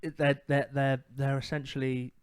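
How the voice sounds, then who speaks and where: British male podcast co-host, clean resonant tone, halting and reflective casual opinion, dry sound. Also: mid-range voice, little pitch movement, smooth, no echo. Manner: hesitant, halting, thinks aloud. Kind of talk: casual opinionated gaming chat.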